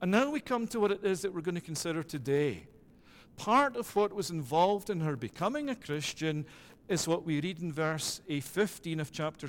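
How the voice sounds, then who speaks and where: Scottish man, middle-aged pastor, higher voice, measured sermon delivery, long church reverb. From Scotland. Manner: measured preaching with repeated "it is that" phrasing.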